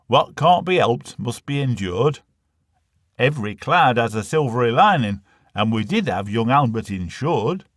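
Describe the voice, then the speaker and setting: A working-class Northern English father, acted by a man in a comic verse story. He is blunt and droll, with an emphatic delivery and lively swings in pitch. The recording is dry and close. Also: unhurried, no room sound. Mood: stoical.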